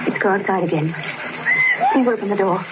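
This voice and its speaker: An actress playing a long-suffering, dutiful young wife in an old-time radio drama, speaking earnestly and formally. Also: female voice, mid-range voice, a little fast, natural voice. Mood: subdued, confiding. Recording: very noisy, muffled old radio recording.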